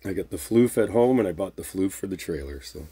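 A man playing an affectionate, easygoing boyfriend in an ASMR roleplay, speaking softly and drowsily in an intimate, unhurried way. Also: sits low, animated, breathy edge, no echo, exaggerated voice. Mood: sleepy, affectionate.

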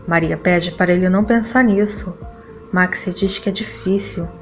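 A woman's voice: fairly low pitch, steady tone, normal speed, soft background music. A woman with a Brazilian accent reading a scripted soap opera recap as narrator.

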